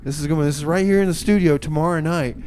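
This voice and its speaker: A man's voice: a little high, very fast, a little mellow, slight background noise. A man hosting a community radio show with casual, improvised chat and announcements, hesitant and folksy, often repeating his phrases.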